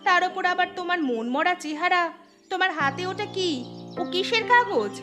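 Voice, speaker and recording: Cartoon village mother, very high voice, fretful, scolding, questioning, music behind. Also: lively intonation, moderate pace, a bit bright. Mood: worried. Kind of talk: animated story dialogue acting. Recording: music under the voice.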